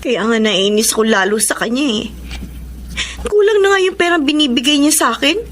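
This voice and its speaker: A hard-up young wife in a radio drama. She is worried and complaining, and her voice sits high. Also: expressive, moderate pace, a bit bright, a little hiss or hum. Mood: weary, resentful. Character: worried, nagging young wife short of money. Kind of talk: Filipino radio drama acting.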